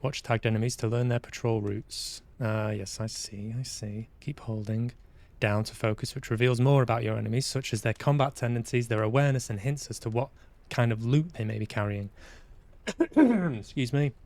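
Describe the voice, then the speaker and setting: A man's voice: mid-range voice, moderate pace, a little mellow. A man giving casual live game commentary, muttering to himself and reading prompts aloud. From Manchester, UK. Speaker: game streamer. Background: faint game music.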